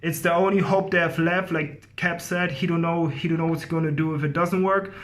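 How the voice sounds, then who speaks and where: A man's voice: a little high, fairly even intonation, normal speed, a bit bright. A man giving a casual, enthusiastic movie review, speaking informally and repeating phrases for emphasis.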